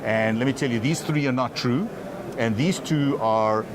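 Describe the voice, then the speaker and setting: A man's voice: medium pitch, moderate pace, noisy background. A man talking as a company executive in a trade show interview, often stumbling and repeating words at the start of his phrases.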